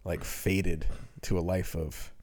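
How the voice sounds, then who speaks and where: A man's voice: deep voice, level tone, slow pace, darkish tone. A man in his early forties talking casually and reflectively as co-host of a podcast discussion. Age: early 40s.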